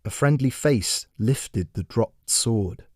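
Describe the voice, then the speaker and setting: A man narrating a dramatic scripted fantasy story with measured, deliberate phrasing. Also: medium pitch, expressive, no echo, studio quality. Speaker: author and narrator.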